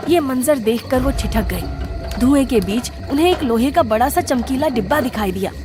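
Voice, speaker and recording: A woman's voice: high voice, expressive, moderate pace, music in the background. A woman reading scripted storybook narration as the narrator of a cartoon tale.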